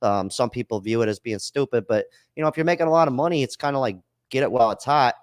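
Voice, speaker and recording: A male podcast host with a loose, slangy delivery that turns blunt and profane. The sound is dry and close, with no room around it. His pitch sits in an ordinary middle range. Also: expressive, fast, dry recording. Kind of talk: casual chat.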